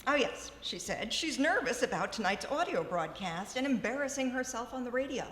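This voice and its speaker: An actress playing a grand, effusive, self-important stage diva in a live radio comedy. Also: female voice, fairly high pitch, big pitch swings, moderate pace, a bit bright. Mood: flustered. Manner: theatrical, effusive. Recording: echoey, noisy ballroom stage.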